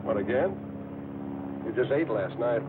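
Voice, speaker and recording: A man's voice: medium pitch, animated, measured pace, close to an everyday voice. An actor playing a wry American man on the run in an old film noir radio drama, with an American accent. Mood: wry, teasing. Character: wry, smooth-talking American male fugitive on the run. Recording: muffled, hissy old radio recording.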